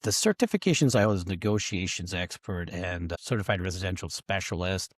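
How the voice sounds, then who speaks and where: A man's voice: low-pitched, expressive, brisk, no room sound, studio quality. A man from Hungary, a real estate broker answering interview questions earnestly, often restarting and repeating words.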